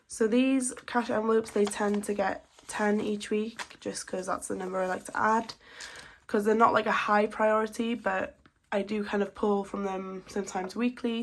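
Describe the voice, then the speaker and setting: A woman's voice: medium pitch, a little hiss or hum. A woman, chatting casually and counting cash aloud, slightly blocked up from a cold. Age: 20s. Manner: chatty, steady counting of notes. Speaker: budgeting vlogger.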